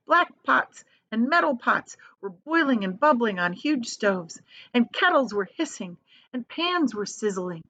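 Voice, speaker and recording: Animated woman reading a storybook aloud. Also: a little high. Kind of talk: expressive storybook read-aloud with asides.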